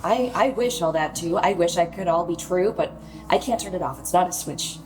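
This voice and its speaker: A woman's voice: fairly low pitch, brisk, lightly acted, rough recording. An actress playing a troubled, self-absorbed pop star in her twenties, defensive and flustered, in a naturalistic radio play. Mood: pleading, subdued. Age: young adult.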